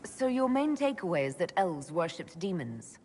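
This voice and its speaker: A woman playing a composed elf mage who leads an order in a video game, speaking in a measured, wry and concise way. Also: fairly low pitch, big pitch swings, a little mellow, slightly rough. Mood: challenging. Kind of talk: video game character acting.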